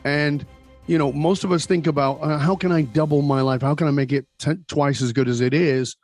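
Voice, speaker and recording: A man hosting a podcast in a conversational, deliberate way, pausing and repeating phrases for emphasis. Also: on the high side, fairly even intonation, darkish tone, soft background music. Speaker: podcast host. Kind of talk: conversational podcast introduction and interviewing.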